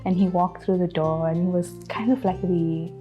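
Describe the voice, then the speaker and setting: A woman in her twenties from South Africa, telling her story in a shy, gentle way. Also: on the low side, fairly even intonation, normal speed, dull audio, faint music behind. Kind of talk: casual interview storytelling.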